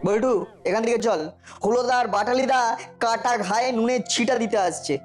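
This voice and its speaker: A woman's voice: a little high, fairly quick, faint music behind, close to an everyday voice. A woman voicing a cheeky, put-upon ten-year-old boy in a cartoon, given to questioning and complaining. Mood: wary. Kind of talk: cartoon voice acting.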